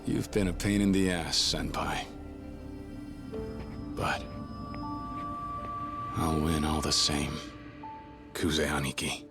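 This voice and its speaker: Defiant young yakuza, curt, very deep and slow, music under it. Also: male voice, heavily acted. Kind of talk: video game cutscene dub. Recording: dialogue over game music.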